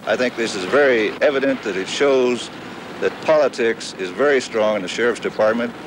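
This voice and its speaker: A man's voice: mid-range voice, brisk. A man giving an unscripted interview statement for television news, recorded on old videotape. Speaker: fired sheriff's officer being interviewed. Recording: faint noise, old 1970s videotape.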